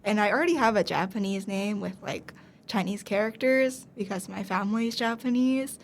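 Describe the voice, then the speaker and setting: A woman's voice: mid-range voice, fairly quick, slight background noise. A young woman from the United States in a casual chat, telling stories in a hesitant, self-deprecating way with frequent "um". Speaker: guest teacher.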